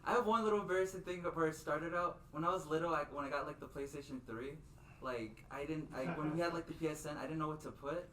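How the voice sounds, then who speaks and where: A man's voice: on the high side, quick pace, fairly bright tone, slight background noise. Guy in his 20s rambling on a podcast, keeps restarting, echoey room, mic far away. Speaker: esports team member. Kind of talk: casual podcast chat.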